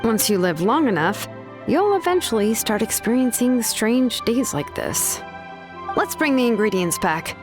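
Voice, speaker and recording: Easygoing young heroine, confident, breezy, higher-pitched, video game dialogue, music behind. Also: female voice, big pitch swings, unhurried. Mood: relaxed. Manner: casual, breezy.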